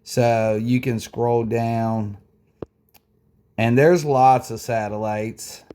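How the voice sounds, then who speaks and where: A man's voice: medium pitch, fairly even intonation, drawn-out words, dark tone, smooth. A man hosting a hobby tutorial, talking informally and conversationally as he explains things step by step. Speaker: tutorial host, radio hobbyist. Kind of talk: casual how-to walkthrough.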